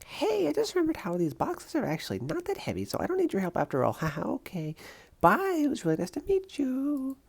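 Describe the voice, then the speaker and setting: Woman acting as young female superhero student, comic dub, animated intonation, perky, chatty, emphatic, upbeat. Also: sits high, brisk, gravelly, exaggerated voice. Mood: flustered.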